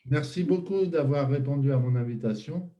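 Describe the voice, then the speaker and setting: A man hosting an interview, asking questions and summing up in a measured way with fillers. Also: medium pitch, warm tone, highs cut off. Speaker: host.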